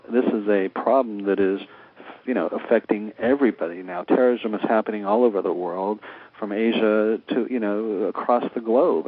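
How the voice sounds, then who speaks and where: A man interviewing in a conversational, rambling way, circling around his points with frequent verbal padding. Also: lowish voice. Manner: rambling, repeatedly says you know. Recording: narrowband radio interview sound.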